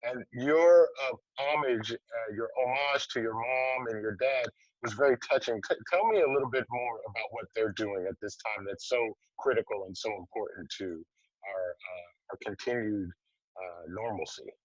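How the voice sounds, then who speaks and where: A man hosting a casual interview over a video call, relaxed and hesitant, with many pauses and restarts. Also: mid-range voice, dry recording. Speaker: interview host, film festival producer. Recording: dull, dry video-call audio.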